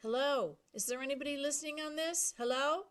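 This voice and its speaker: A woman's voice: high voice, a little fast, pitched above the performer's own voice, lightly acted. A woman voicing a panicked teenage boy stranded in a plane, calling frantically for help with a cracking voice. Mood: frantic.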